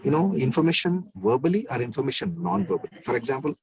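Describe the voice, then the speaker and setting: A man with a deep bass voice, lecturing an online class in an emphatic way and repeating key phrases. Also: lively intonation, fast talker, creaky.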